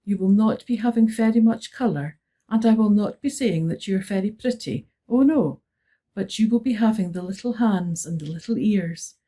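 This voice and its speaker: A woman voicing a stately old Highland widow with a rich, powerful voice and a lilting Scottish Highland accent. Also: normal speed, mellow, natural voice.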